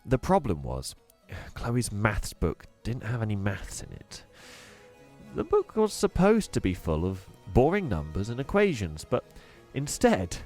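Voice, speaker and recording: Animated man reading a children's story. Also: mid-range voice. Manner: expressive, animated. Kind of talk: reading a children's book aloud.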